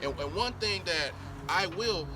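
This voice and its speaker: A man's voice: high-pitched, lively intonation, unhurried. A middle-aged man from Columbus, Ohio, a school board candidate answering interview questions emphatically, repeating his points and restarting often. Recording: noisy outdoor recording with traffic.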